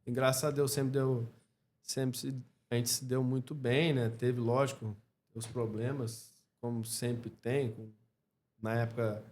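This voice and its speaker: A Brazilian man speaking as a podcast guest in a casual interview, reflective and halting, often checking in with short tag questions. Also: mid-range voice, little pitch movement, a little mellow, slight background noise. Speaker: podcast guest, clothing store co-founder.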